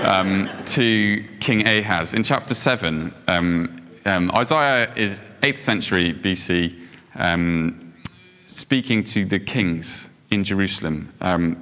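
A man's voice: low-pitched, a bit bright, in a large hall. A young man giving an informal sermon, chatty and self-deprecating, telling a school story with lots of ums. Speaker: preacher.

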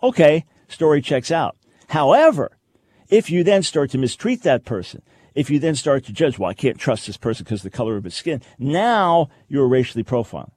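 An American male talk radio host with a mid-pitched voice, recorded close and dry. He speaks off the cuff in a conversational way, putting questions to the listener and voicing little examples as he explains. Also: big pitch swings, moderate pace, a little mellow, no room sound. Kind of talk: unscripted talk radio commentary.

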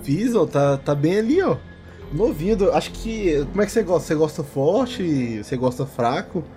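A man's voice: fairly high pitch, expressive, normal speed, warm tone, faint music behind, pitched above the performer's own voice, natural voice. A man with a Brazilian accent voicing an obliging, tired office clerk in a tabletop game, casual and repetitive. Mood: eager to please. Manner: casual, repeats himself.

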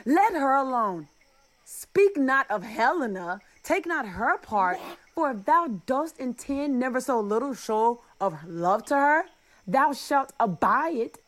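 A woman's voice: high voice, big pitch swings, takes it slowly, full character voice. A woman acting a proud, combative young man in love, challenging in manner, in a Shakespeare radio play. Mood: defiant. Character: proud, combative young male lover Demetrius.

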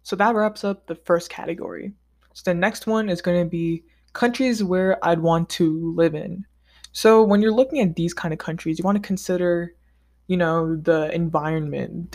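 A man talking straight to his audience in a relaxed vlog. His delivery is upbeat and chatty, loose and conversational, with casual slang. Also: on the high side, creaky. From United States. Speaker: vlogger. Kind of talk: casual scripted monologue ranking countries.

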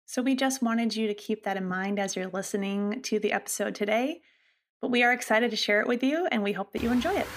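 A woman's voice: medium pitch, a little fast, a little hiss or hum. A woman hosting a podcast, reading an upbeat introduction and leading an interview. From central Massachusetts. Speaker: podcast host.

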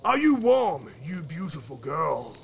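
A grand frost spirit king from a fairy tale, played by a male storyteller. He snaps out his words with commanding force. The pitch is raised and swings dramatically, over faint noise. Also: sing-song, unhurried, vocal fry, exaggerated voice. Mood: imperious, probing.

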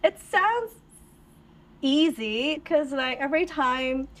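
A young adult woman from Hong Kong, a podcast guest who talks in a lively, expressive way and jumps in eagerly. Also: high voice, slow pace. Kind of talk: casual interview chat.

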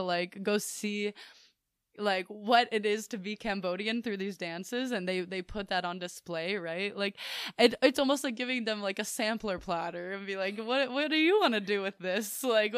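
A woman's voice: medium pitch, clear, resonant tone, no room sound, clean studio sound. A young woman in casual podcast conversation, thinking aloud with fillers and restarts. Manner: thoughtful, rambling with restarts and fillers.

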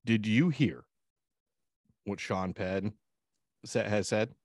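Low-voiced, profane man in casual banter. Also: slow pace, no echo, clean studio sound. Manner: profane, rambling, interjecting. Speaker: talk show co-host.

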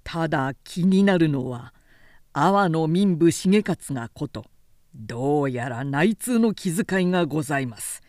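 A woman's voice: expressive, a little slow, a little mellow, no room sound, studio quality. A woman as a commanding samurai general, declaiming boldly. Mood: grave, urging. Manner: booming, declamatory, archaic warrior speech. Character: commanding male samurai general rallying troops.

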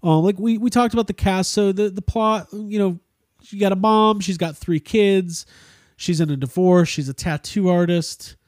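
A man's voice: high-pitched, moderate pace, darkish tone, no room sound, studio quality. A man hosting a casual podcast chat about a film, rambling and jokey, swearing freely. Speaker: podcast host.

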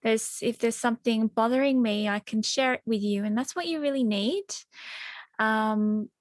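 A woman's voice: on the high side, moderate pace, no echo, clean studio sound. A woman hosting a workplace podcast talks in a chatty, friendly way, with plenty of fillers and restarts. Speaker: podcast host, team member.

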